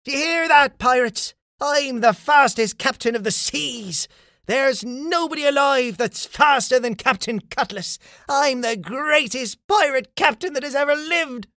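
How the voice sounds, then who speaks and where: A man's voice: very high-pitched, a little slow, pushed and bright, no room sound, studio quality, voice pushed up, heavily acted. A man voicing a boastful female pirate captain in a story, crowing and declaiming. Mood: triumphant boasting. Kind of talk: acted children's storybook character.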